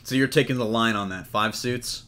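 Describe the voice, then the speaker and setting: A man's voice: medium pitch, moderate pace, a bit bright. A man hosting a casual entertainment news discussion in a conversational way, putting questions to his co-hosts. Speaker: podcast host.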